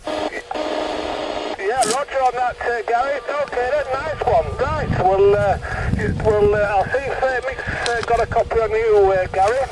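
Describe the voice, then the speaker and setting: A man's voice: extremely high pitch, unhurried. Man over distant CB radio. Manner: hesitant, chummy, uses radio jargon. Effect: CB radio transmission. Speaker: CB radio operator running a net. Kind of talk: casual CB radio exchange.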